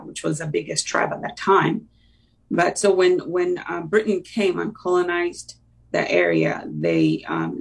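A middle-aged woman with a Ugandan accent and a very creaky, husky voice, heard a little way from the microphone. She talks conversationally as an interview guest, hesitating and pausing partway through her sentences. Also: fairly low pitch, distant mic, rough recording. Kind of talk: conversational personal recollection.

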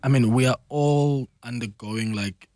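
A man's voice: medium pitch, measured pace, mellow, no echo, studio quality. A man in his thirties hosting a conversational interview, engaged and affirming, with self-deprecating humour. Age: 30s. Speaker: podcast host.

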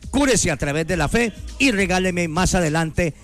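A man hosting a radio slot for a faith healer, delivering his pitch in a sing-song way and rattling off phone numbers. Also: expressive, moderate pace. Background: soft background music.

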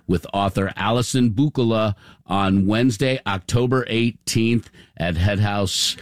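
A man's voice: fairly low pitch, unhurried, no echo, studio quality. A man hosting a public affairs radio show and interviewing a guest.

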